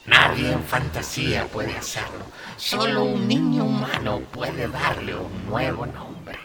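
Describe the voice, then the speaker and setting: A man playing a solemn, breathy oracle in a radio drama. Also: mid-range voice, very animated, a little slow, heavy background noise. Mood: grave. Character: solemn, slow, mysterious oracle speaking as "we".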